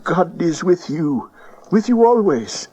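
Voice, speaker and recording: A man's voice: sits high, big pitch swings, slow pace, dark tone, husky, full character voice. A man playing a wise, grave traveller from the East in a dramatized audio Bible, solemn and dramatic. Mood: solemn, urgent. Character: wise, grave eastern traveller, a magus leader. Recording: dull cassette, crowded background.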